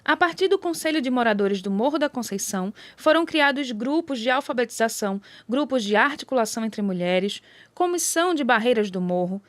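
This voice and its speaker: A woman's voice: a little high, expressive, fairly quick, few pauses, a little hiss or hum. A woman with a Brazilian accent reading scripted documentary narration.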